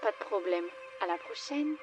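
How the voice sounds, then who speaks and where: A woman's voice: medium pitch, expressive, moderate pace, noisy background, lightly acted. Composed, sly cartoon teacher played by a woman. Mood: sly, assured. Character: obliging, sly woman teacher serving her chief. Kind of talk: fan-dub cartoon acting.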